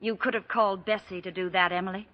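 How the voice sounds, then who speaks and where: A woman's voice: mid-range voice, fairly even intonation, fast talker, natural voice. An actress playing a well-off young woman in old-time radio drama, with polished, theatrical speech on a muffled recording. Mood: cold, guarded. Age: young adult. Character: well-off young woman, hopeful but guarded.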